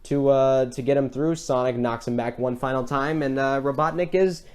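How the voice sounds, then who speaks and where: A man's voice: medium pitch, fairly even intonation, normal speed. A man co-hosting a casual film chat, talking in a rambling, enthusiastic fan's way. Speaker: podcast co-host.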